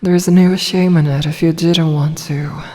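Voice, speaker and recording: Woman acting a snake-woman lamia, low-pitched, protective, coaxing, fantasy ASMR, long echoing reverb. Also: fairly even intonation, darkish tone, slight background noise. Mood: tender, soothing. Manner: slow, coaxing, soothing. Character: tender, protective snake woman lamia, playfully menacing to prey. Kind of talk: ASMR roleplay, scripted fantasy audio drama. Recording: long reverberant hall-like echo.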